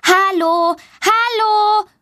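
A woman voicing a fretful young schoolgirl, shrill. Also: very animated, unhurried, exaggerated voice. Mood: worried. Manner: high, pleading and cross. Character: frightened, stubborn young schoolgirl.

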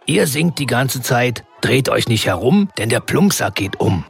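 An actor playing a jokey, patient kindergarten head in a children's radio comedy, explaining things with theatrical flair. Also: male voice, medium pitch, sing-song, measured pace, gravelly, breathy edge, soft background music, exaggerated voice.

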